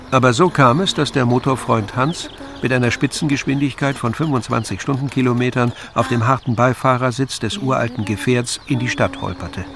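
Creaky-voiced male story narrator. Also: medium pitch, noisy background. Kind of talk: scripted literary narration.

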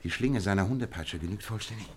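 Deep male voice, actor as shrewd intense detective, deliberate theatrical delivery, radio play, clearly noisy recording. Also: close to an everyday voice. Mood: grim, brisk. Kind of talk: German radio drama acting.

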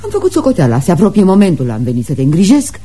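A domineering, fussy mother in radio theatre. Her voice is on the low side, mellow and rough-edged, and she speaks with theatrical, superior airs. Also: huge pitch swings, exaggerated voice. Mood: fussy, imperious. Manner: theatrical, haughty, fussing.

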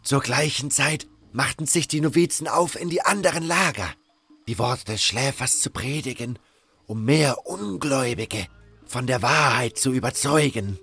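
A man playing a solemn cult guru preaching his faith in a fantasy audio drama, with a gravelly, husky voice and measured delivery. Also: mid-range voice, sharp tone, soft background music, full character voice. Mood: solemn, devout.

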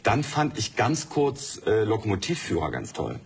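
Deep-voiced man chatting reflectively. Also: huge pitch swings, normal speed, vocal fry, breathy edge. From Germany and Norway. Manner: chatty, reflective, many fillers. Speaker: studio guest. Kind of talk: casual conversation.

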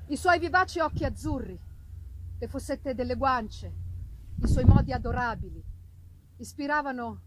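A woman reads a gothic novel as dramatic literary narration, in measured, solemn, theatrical delivery, with live musical accompaniment. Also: high voice, animated, heavy background noise. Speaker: reader performing a literary audiobook.

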